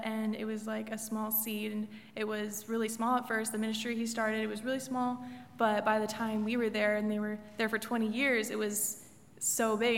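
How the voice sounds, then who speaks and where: A woman's voice: fairly high pitch, creaky, fairly bright tone. A woman speaking hesitantly, with many ums, as she shares memories from a church trip. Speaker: missionary's daughter sharing memories. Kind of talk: informal testimony to a congregation. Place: church sanctuary. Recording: long echoey hall reverb.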